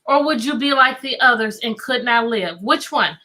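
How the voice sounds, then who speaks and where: High, bright-voiced woman hosting children's story time. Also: moderate pace. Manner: animated, asking listeners questions and repeating them. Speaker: children's read-aloud host and teacher. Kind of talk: interactive storybook read-aloud for children.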